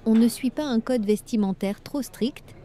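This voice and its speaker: A woman reading everyday French dialogues for learners, with clear, careful scripted delivery. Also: a little high, moderate pace, mellow, lightly acted.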